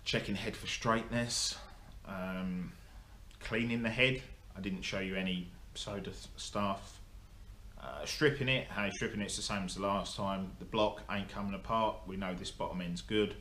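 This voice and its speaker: A man's voice: deep voice, steady tone, moderate pace, a bit bright. A young man giving a casual, rambling update to camera, full of ums and restarts. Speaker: motorsport vlogger. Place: indoor workshop. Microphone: camera in front of him. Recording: echoey workshop, faint hum.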